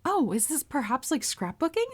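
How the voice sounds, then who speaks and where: A woman playing a polite, earnest, bashful young anime heroine with a crush, speaking formally and gently gushing in a roleplay audio. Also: high voice, sing-song, moderate pace. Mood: curious, eager. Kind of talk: anime character roleplay audio, addressed to listener.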